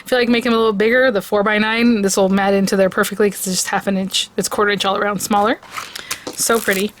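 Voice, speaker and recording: A hoarse woman hosting a crafting video, chatting excitedly as she reviews and tries out new dies. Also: mid-range voice, quick pace, no echo. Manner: chatty and excitable, gushing over products. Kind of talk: casual product review and crafting demo.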